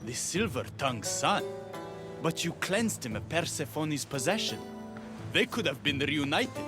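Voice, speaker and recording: Casting: earnest, reproachful rebel in a game, fairly high voice, lively intonation, music behind. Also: male voice, measured pace. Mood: troubled, reproachful. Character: troubled, reproachful male rebel ally. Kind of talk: scripted video game dialogue.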